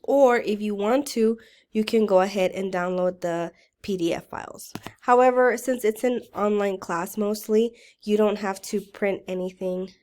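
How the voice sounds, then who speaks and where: Woman instructor, voice rough from a cold. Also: mid-range voice, normal speed. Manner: relaxed, explanatory, conversational with fillers. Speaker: college writing instructor. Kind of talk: recorded course walkthrough lecture.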